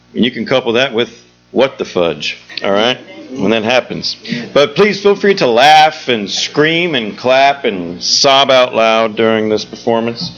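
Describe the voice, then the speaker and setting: A man's voice: mid-range voice, a bit bright. A man speaking as a theatre director and host, giving an informal, joking welcome speech to a live audience. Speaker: theatre executive director hosting a show. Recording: dull lo-fi live recording, music behind.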